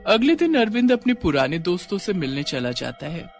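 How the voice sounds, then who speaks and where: A man's voice: high-pitched, sing-song, moderate pace, soft background music. A man reading scripted story narration as the narrator of a tale.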